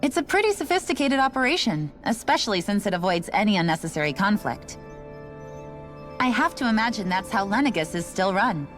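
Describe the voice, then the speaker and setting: An actress playing a resigned, matter-of-fact station worker in a video game, explaining things in a casual, unbothered way. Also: female voice, mid-range voice, big pitch swings, brisk, soft background music, lightly acted. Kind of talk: video game cutscene voice acting.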